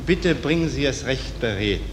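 An actor playing a brisk, commanding small-town church choirmaster in a radio drama. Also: male voice, medium pitch, expressive, close to an everyday voice. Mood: brisk, instructing. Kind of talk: German stage-play radio drama acting. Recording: echoey hall, clearly noisy.

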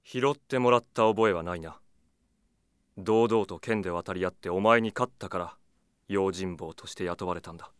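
Man acting a low-voiced, cold lone swordsman. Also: moderate pace, smooth, no room sound, clean studio sound, natural voice. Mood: curt, irritated. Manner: curt, aloof, cool. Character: cold, proud lone male swordsman, aloof mercenary. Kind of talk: anime-style audio drama acting.

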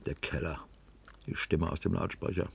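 An actor playing a weary, brooding middle-aged worker confined underground, musing with resignation in a science-fiction radio drama, his voice gravelly and husky. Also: rumbling low, fairly even intonation, normal speed, full character voice. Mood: brooding, resigned. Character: brooding, weary male worker confined to a cellar control room.

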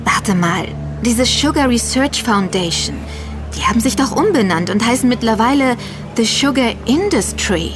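A woman's voice: on the high side, lively intonation, normal speed, over music, close to an everyday voice. A woman playing a sceptical, alert investigator in a German audio drama, with a questioning, critical manner. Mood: realising. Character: sceptical, alert female member of investigative team.

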